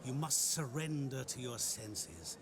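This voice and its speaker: An actor playing a sly, all-knowing mysterious male stranger in a game cutscene, talking in a smooth, theatrical, mocking way. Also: medium pitch, very animated, slow, crowd noise. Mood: teasing lecture. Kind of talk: video game cutscene acting.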